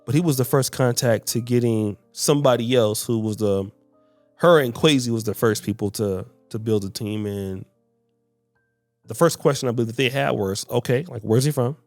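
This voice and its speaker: Halting man, podcast host. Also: mid-range voice, darkish tone, no room sound, soft background music. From United States. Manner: halting, repeats words while searching for phrasing. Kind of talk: casual podcast discussion.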